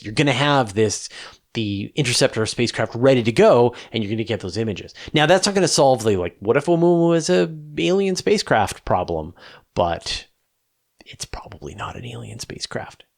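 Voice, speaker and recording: A man's voice: mid-range voice, animated, a little fast, creaky. A man hosting a question-and-answer show, explaining things in a casual, enthusiastic and emphatic conversational way.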